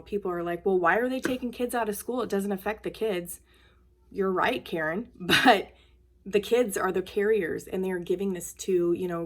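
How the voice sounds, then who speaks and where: A woman speaking casually and simply to children, in the manner of a school nurse at story time. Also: medium pitch. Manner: plain, simple, child-directed phrasing. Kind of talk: casual talk to children and read-aloud storytelling.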